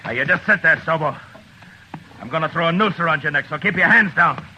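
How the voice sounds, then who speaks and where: An actor playing a tough frontier marshal in an old radio drama, terse and commanding, also narrating. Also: male voice, fairly high pitch, animated, brisk, natural voice. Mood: stern. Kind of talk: 1950s radio drama acting. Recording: noisy old radio recording.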